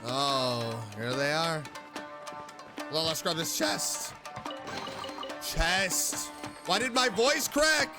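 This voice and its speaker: Dude streaming a game, gravelly voice, takes it slow but gets all excited, music under him. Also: male voice, on the high side. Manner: excitable, chatty reactions. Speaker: vtuber gamer. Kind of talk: casual gameplay commentary.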